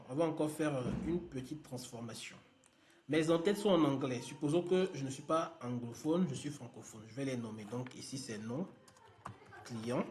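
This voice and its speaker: A man's voice: medium pitch, a little hiss or hum. A man leading a live online lesson, talking casually and thinking aloud with many fillers as he works on screen. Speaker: trainer.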